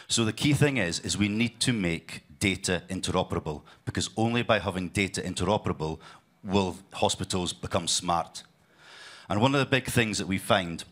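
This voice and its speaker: Guy presenting at a conference, very deep voice, lots of ums and restarts. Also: a bit bright. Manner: loose, repetitive, with many fillers and restarts. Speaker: conference speaker, sales leader.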